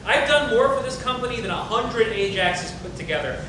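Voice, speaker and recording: The room is very echoey, with clear rumbling noise. An actor plays a cocky man who closes deals for a company in a stage drama, defending himself in casual, sardonic, profane talk with a very high voice for a man. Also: expressive, normal speed. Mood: defensive, grudging. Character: cocky, self-justifying, profane company dealmaker. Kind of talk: dramatic table reading of a stage play.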